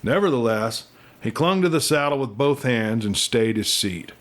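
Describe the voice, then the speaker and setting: A man narrates a western novel in a folksy, dramatic way. His voice sits at a medium pitch, and he tells it as a storyteller would, with plenty of expression. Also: animated, normal speed, fairly bright tone. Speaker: audiobook reader and storyteller.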